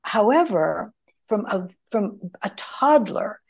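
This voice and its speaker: Middle-aged woman, greek first language, slow unhurried talk, lots of you knows and restarts. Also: medium pitch, sing-song, vocal fry, darkish tone, breathy edge. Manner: rambling, many restarts and fillers. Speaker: guest. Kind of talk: conversational storytelling.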